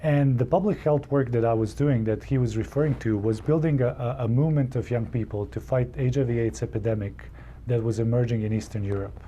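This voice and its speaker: A man from Serbia with a Serbian accent, telling a personal story in earnest, halting English with frequent restarts. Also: medium pitch, warm tone, lo-fi sound. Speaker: public health colleague telling his story. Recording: faint office noise.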